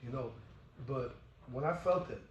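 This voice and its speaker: A man's voice: medium pitch, measured pace, recorded from a distance, lo-fi sound, slight background noise. A man co-hosting, a former fighter, talking emphatically, swearing freely and repeating himself. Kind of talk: casual chat. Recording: echoey room.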